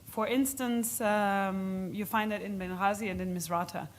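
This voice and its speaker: A woman giving halting, explanatory answers as an expert speaker in an unscripted question session at a policy event. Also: mid-range voice, fairly even intonation, slight background noise.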